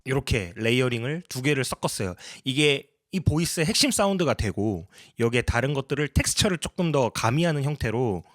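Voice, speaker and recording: A man's voice: medium pitch, expressive, fairly quick, few pauses, very noisy. A man narrating a tutorial as a sound designer, explaining his process in a polite way.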